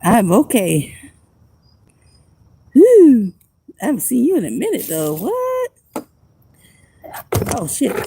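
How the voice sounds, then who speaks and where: A woman's voice: on the high side, wildly swooping pitch, takes it slowly, long pauses, warm tone, slight background noise. A woman who is a homeless vlogger and music producer, chatting casually and rambling in slang on a phone livestream outdoors at night.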